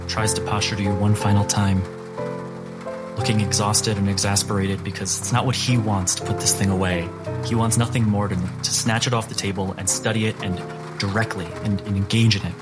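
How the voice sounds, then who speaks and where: A man narrating a tabletop game as game master, slow and descriptive. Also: lowish voice, fairly even intonation. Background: music.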